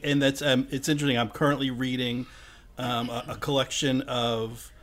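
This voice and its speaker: A man joins the interview with his own questions. He speaks hesitantly, pausing often to find his words. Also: medium pitch, level tone, fairly bright tone. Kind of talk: casual interview.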